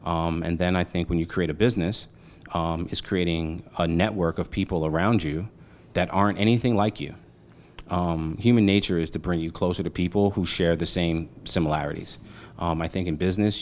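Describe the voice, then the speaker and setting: A man's voice: deep voice, moderate pace. A man, chatting casually as an interview guest, loose and slangy with lots of fillers. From Brooklyn, New York. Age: 40s. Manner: relaxed, slangy, many fillers.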